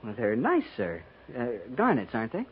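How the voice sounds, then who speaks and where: Polite, hesitant cavalry subordinate, animated delivery, thin noisy 1950s radio sound. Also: male voice, medium pitch, moderate pace, dull audio. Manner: deferential, hesitant, earnest. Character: eager, polite, puzzled junior cavalry officer. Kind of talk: 1950s radio western acting.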